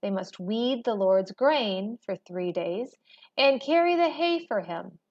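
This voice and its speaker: A woman reading a history textbook aloud as a teacher, in a measured, expository manner. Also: mid-range voice, expressive, a little mellow. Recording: dull, lo-fi recording.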